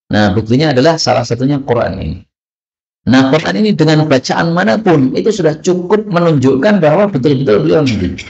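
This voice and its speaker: A man's voice: medium pitch, gravelly, a little mellow. A man teaching a religious study lesson, translating texts line by line and asking and answering his own questions. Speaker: Islamic teacher. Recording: dull, lo-fi recording.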